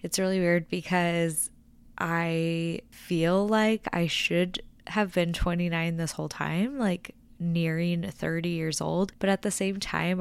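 A woman's voice: on the low side, gravelly, clean tone. A woman in her twenties hosting a casual solo podcast, chatty and rambling with lots of filler words. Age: 20s. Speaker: podcast host.

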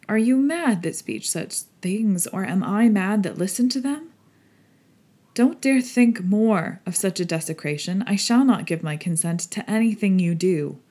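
A man's voice: high-pitched, mellow. A woman acting an indignant, impassioned young lord. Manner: impassioned, dignified. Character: indignant, grief-stricken young English lord, proud and passionate. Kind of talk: audiobook character acting.

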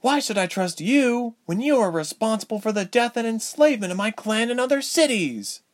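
A man's voice: high-pitched, lively intonation, measured pace, pitched above the performer's own voice. A man voicing a defiant, bitter young woman in a fantasy story, terse and accusing. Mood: anguished anger.

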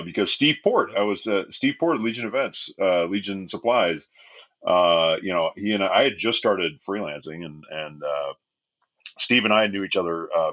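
A man in easy conversation, rambling through stories with plenty of fillers and laughs. His voice sits low with a husky edge. The recording sounds dull and lo-fi. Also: a bit bright. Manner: laid-back, lots of fillers and laughs, says "man" and "dude". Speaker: podcast guest, game designer. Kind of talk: casual chat.

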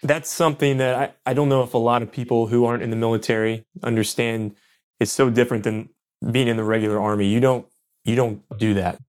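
A man's voice: mid-range voice, steady tone, fairly quick, a little mellow. A man hosting a casual interview, asking long, knowledgeable questions about military life. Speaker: podcast host.

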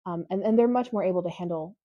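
Woman, fairly low pitch, mellow tone, close dry sound, casual teacher conversation. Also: fast talker, no room sound, lo-fi sound. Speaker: high school literature and ethics teacher.

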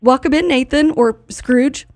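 A woman's voice: sits high, unhurried, a little mellow, dry recording, studio quality. An American woman chatting informally as host of a live radio interview. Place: radio studio.

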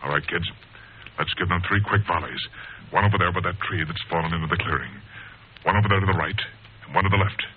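An actor playing a grave, decisive captain leading a young squadron in a 1940s radio adventure serial. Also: male voice, low voice, fast talker, lightly acted. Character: steady heroic captain commanding his young squadron. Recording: tinny, hissy old radio broadcast.

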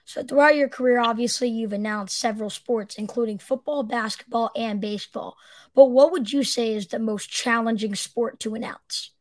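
A woman's voice: on the high side, moderate pace, a little mellow, no echo. A woman hosting a casual sports interview podcast and putting questions to a guest.

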